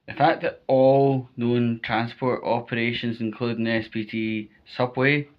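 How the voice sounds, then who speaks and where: Casting: Scottish male vlogger, lowish flat-toned voice, unhurried, emphatic informal advice, muffled lo-fi sound. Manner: emphatic, repetitive, checks in with "right?". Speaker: vlogger giving storm safety advice. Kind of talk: informal spoken advice to viewers.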